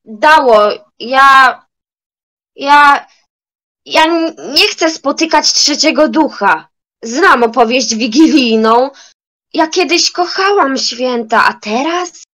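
High-voiced, slow young woman playing a theatrical grandmother. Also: bright, forceful tone, full character voice. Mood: remorseful. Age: young adult. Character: grumpy old grandmother turned remorseful and joyful. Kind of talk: amateur school audio drama.

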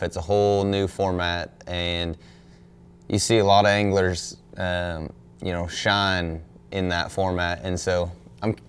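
Deep-voiced young man at a press conference. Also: clean tone. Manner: relaxed, thoughtful, many fillers like "you know" and "um". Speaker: pro angler at a press conference. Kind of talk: post-game press conference answers. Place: indoor press room in front of a backdrop. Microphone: desk microphone on a stand.

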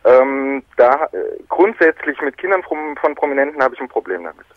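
A man's voice: mid-range voice, big pitch swings, a little fast, no room sound, poor audio. A man calling in to a talk show, chatting casually in a frank, matter-of-fact way. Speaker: caller, celebrity photographer. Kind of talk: casual phone-in conversation.

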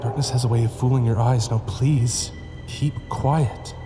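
An actor playing a weary, protective castaway in an audio horror drama, with slow, earnest delivery. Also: male voice, mid-range voice, fairly even intonation, in a large hall, over music, close to an everyday voice. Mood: hushed, tense. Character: weary, protective male castaway fighting to survive monsters.

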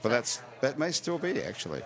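An older man hosting a live podcast chat in a restaurant, teasing his guests with quick joking questions. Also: mid-range voice, big pitch swings, vocal fry, a bit bright. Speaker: host. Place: busy restaurant. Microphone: large broadcast mic on stand. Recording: crowd chatter behind. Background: restaurant crowd chatter.

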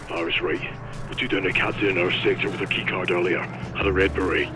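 A man's voice: low voice, little pitch movement, brisk, over music, natural voice. A man playing an alert, tense soldier giving field reports in a video game cutscene. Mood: focused.

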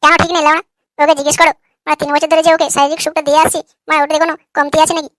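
A man acts out an irritable young cartoon husband with a high, artificially raised voice. He is crude and combative, firing words out very fast in a sharp, bright tone. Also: flat delivery, dry recording, studio quality. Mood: irritated. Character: irritable, foul-mouthed, quarrelsome young husband. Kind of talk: comic cartoon dialogue.

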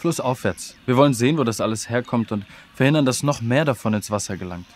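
An actor plays a young man doing field research in a children's radio play, friendly and careful. His voice is medium in pitch, over faint outdoor ambience. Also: fast talker, slight background noise, lightly acted. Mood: purposeful. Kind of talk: children's audio drama acting.

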